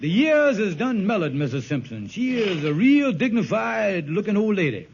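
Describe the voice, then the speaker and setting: An actor playing a scheming, smooth-talking lodge con man in an old radio sitcom, wheedling and persuasive in folksy, ungrammatical speech. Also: sits high, big pitch swings, normal speed, slight background noise. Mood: wheedling persuasion. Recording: muffled vintage radio recording.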